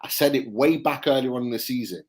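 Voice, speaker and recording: A man's voice: medium pitch, fast. A man co-hosting a fan forum, talking confidently and sharing strong opinions. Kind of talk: casual fan chat.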